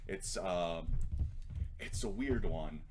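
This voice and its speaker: Low-pitched young man, noisy recording. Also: expressive, slow pace. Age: 20s. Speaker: author reading own story aloud. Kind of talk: casual rambling talk, then reading own prose aloud.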